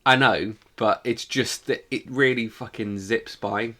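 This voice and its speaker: A man's voice: medium pitch, fairly even intonation, a little slow, pushed and bright. A man co-hosting a podcast, chatting casually and informally in review style, with the odd swear word. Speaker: podcast co-host.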